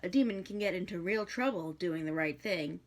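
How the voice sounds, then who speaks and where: A woman's voice: lowish voice, moderate pace, lightly acted. Storybook demon, male, played by a woman: sardonic, wry, given to musing. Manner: sarcastic, wry.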